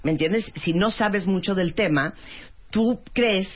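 A woman's voice: medium pitch, measured pace, husky. A woman hosting a radio interview, chatty and playful. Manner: chatty, playful, lots of backchannel. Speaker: radio host. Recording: dull lo-fi radio sound.

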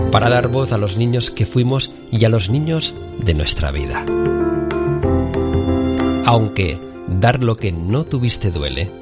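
A man reading a scripted podcast introduction in a slow, solemn way. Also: on the low side. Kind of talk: scripted narration.

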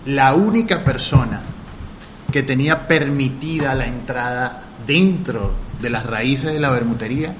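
A man from Venezuela talks about his vermouth bar in passionate detail, often pausing on small hesitations. A clear background noise sits under his voice, and his pitch is mid-range. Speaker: guest, vermouth bar owner. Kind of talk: interview.

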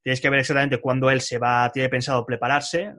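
A man leading a group discussion on a video call, energetic and addressing everyone. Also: medium pitch, brisk. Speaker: course leader.

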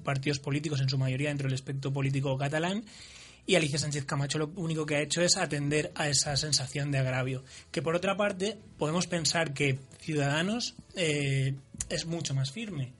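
A man from Spain giving quick, fluent political analysis on a radio panel. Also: fairly high pitch, fairly bright tone. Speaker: political commentator. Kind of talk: panel debate.

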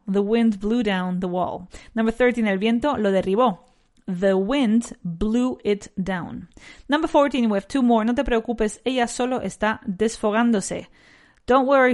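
A woman with a nasal voice teaching an English lesson. She repeats each sentence slowly and switches between English and Spanish. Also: a little high, darkish tone. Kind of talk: bilingual language lesson.

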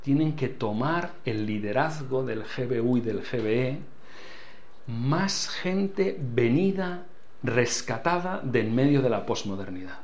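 A man's voice: medium pitch, lively intonation, unhurried, darkish tone, dull audio. An older man with a foreign accent, a church leader giving earnest interview answers and repeating key phrases for emphasis. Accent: foreign accent in Spanish.

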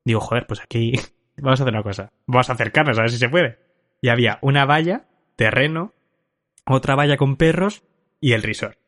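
A man's voice: mid-range voice, brisk, fairly bright tone. A man hosting a casual podcast, telling a drunken travel anecdote with frequent laughs and swearing. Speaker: podcast host. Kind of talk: casual chat, storytelling.

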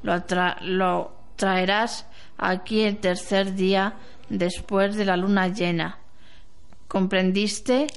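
Woman playing a slow, wise old grandmother. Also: medium pitch, level tone, clean tone. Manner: slow, measured, instructing. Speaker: amateur radio drama actor. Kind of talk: amateur radio play acting.

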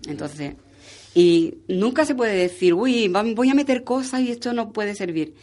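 A woman's voice: mid-range voice, animated, a little slow, darkish tone. A woman talking as a charity guest, explaining and telling anecdotes conversationally, often checking for agreement. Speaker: charity coordinator or volunteer guest.

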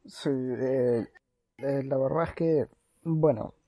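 A man co-hosting a podcast in a casual, halting way, with frequent false starts. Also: medium pitch, warm tone, dry recording, studio quality. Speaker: podcast co-host.

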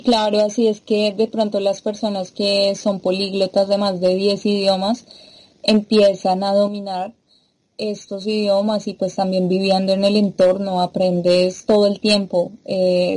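A woman from Latin America speaks as an interview guest, her voice warm and mellow in tone. She explains things patiently, stopping now and then on small fillers as she thinks. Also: medium pitch, rough recording. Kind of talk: interview conversation.

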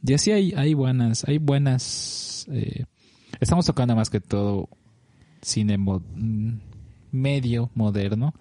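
A man's voice: mid-range voice, slow, dark tone, no echo. A young man hosting a casual podcast, speaking hesitantly and often repeating his words. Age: young adult. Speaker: podcast host.

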